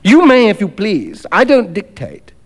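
A man voicing a cynical, dapper young doctor in a story, brisk, worldly and sneering. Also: high voice, huge pitch swings, pitched above the performer's own voice, full character voice. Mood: coolly persuasive.

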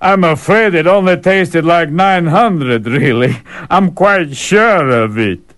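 A man's voice: fairly high pitch, takes it slowly, fairly bright tone, voice pushed up. A man voicing an anxious, greedy male eater, wheedling for more food.

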